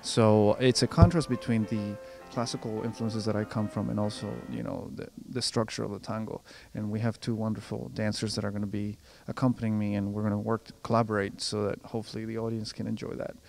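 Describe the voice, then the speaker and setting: A young man from Colombia, whose first language is Spanish, answers interview questions in a low, gravelly voice. His pitch barely moves as he goes, and he stumbles through restarts and fillers while he thinks aloud. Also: little pitch movement, a little fast, few pauses, a little mellow, faint music behind. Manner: hesitant, many restarts and fillers. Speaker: composer and pianist.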